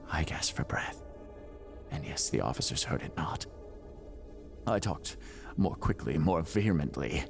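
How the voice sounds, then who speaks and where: A crazed, cunning killer telling his own gothic horror confession. His lowish voice swoops dramatically between hush and outcry. The delivery is theatrical and unhinged, with faint music underneath. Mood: uneasy, mounting dread. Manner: theatrical, swinging from hushed whispers to shrieks. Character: mad, cunning murderer confessing, gleeful then frantic.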